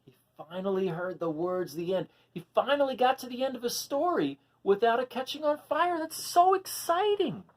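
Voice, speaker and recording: A man hosting a children's read-aloud, expressive as he reads and chats, explaining things simply to young listeners. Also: very high-pitched, normal speed, hardly any pauses, rough recording. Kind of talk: animated storybook reading and casual chat to children.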